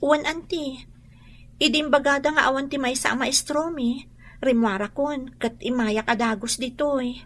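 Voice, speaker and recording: A woman's voice: high-pitched, fairly even intonation, moderate pace, a bit bright, a little hiss or hum. A woman playing a polite, caring young student in an Ilocano radio drama, with an Ilocano Filipino accent. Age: young adult.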